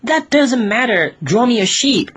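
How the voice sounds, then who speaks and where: A man's voice: very high-pitched, very animated, measured pace, a bit bright, breathy edge, voice pushed up, heavily acted. A man voicing a strange little boy in a storybook, earnest and plainly insistent.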